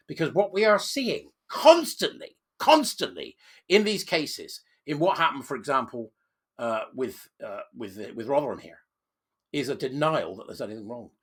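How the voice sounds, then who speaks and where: Croaky man with a cold. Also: mid-range voice. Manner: emphatic, insistent, with sudden loud stresses. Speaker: channel host. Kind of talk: opinion commentary monologue.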